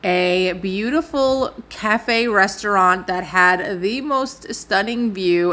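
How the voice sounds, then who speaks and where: A woman's voice: medium pitch, a bit bright. A woman travel vlogger giving a casual, candid voice-over, sharing stories and advice and addressing viewers directly.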